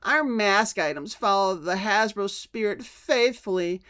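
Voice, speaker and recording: A man's voice: high voice, expressive, unhurried, bright, forceful tone, smooth, full character voice. A man voicing a pompous, self-satisfied toy company boss in a mock dramatic reading of a letter. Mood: boastful, self-satisfied. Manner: pompous, salesman-like.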